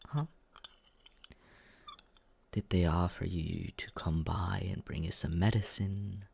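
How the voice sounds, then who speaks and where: Man acting a smug teasing young guy, ASMR roleplay, breathy whispered murmur, creaky, unhurried drawl, condescending yet secretly caring. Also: deep voice, fairly even intonation, a little mellow, dry recording, heavily acted.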